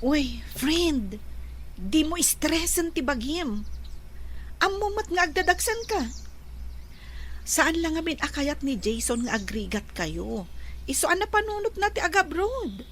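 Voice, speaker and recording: An actress with an Ilocano accent playing a concerned, reassuring young best friend in a radio drama, faint noise behind. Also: female voice, high-pitched, very animated, unhurried, long pauses, fairly bright tone, full character voice.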